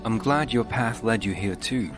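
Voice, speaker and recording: An actor voicing a steady, friendly fantasy hero in a video game, in a plain, understated manner. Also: male voice, on the low side, normal speed, lightly acted. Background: music.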